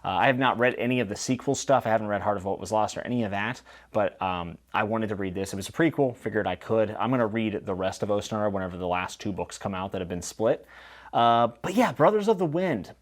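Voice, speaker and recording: A man with a fairly deep voice hosts a casual book wrap-up. He speaks informally and with enthusiasm, putting emphasis on his opinions as he chats to the viewer. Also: fast talker. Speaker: book review channel host.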